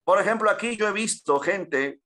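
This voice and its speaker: A man from Peru teaching a church Bible class in a fairly high voice. He keeps dropping in praises and amens, calls each listener brother, and puts rhetorical questions to them as he walks through scripture. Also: animated, normal speed, pushed and bright. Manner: peppers speech with "gloria al nombre del Señor" and "amén"; addresses listeners as "hermano".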